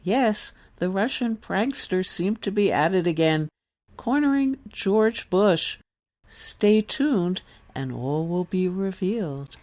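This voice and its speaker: A woman radio announcer reading a scripted show introduction in a deliberate, dramatic way. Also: medium pitch, lively intonation, a little mellow.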